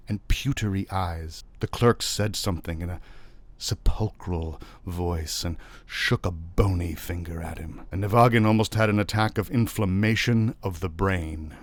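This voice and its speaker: A man reading a literary short story aloud as an expressive narrator. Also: sits low, no room sound. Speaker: story reader.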